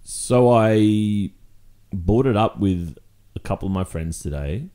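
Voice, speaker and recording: Man acting as a low, mellow jealous Australian boyfriend. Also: lively intonation. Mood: exasperated. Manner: hesitant, halting, conversational. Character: jealous, fed-up young boyfriend confronting his girlfriend. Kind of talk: intimate ASMR boyfriend roleplay.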